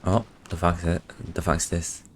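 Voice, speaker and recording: A man's voice: low voice, flat delivery, a little fast, a little hiss or hum. A man streaming a game, giving casual, muttered commentary in short reactions. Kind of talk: casual live gameplay commentary. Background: game music.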